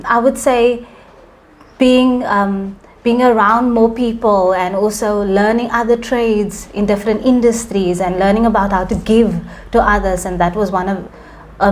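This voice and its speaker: A woman from Cape Town, answering interview questions gently, with frequent pauses. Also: mid-range voice. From Cape Town, South Africa. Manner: soft-spoken, gentle, many "um" pauses. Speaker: fashion designer guest.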